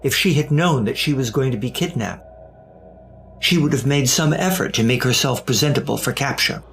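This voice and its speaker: Male narrator for audio drama: gravel in the voice, mid-range, suspenseful, deliberate, slightly wry. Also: medium pitch, a bit bright, soft background music.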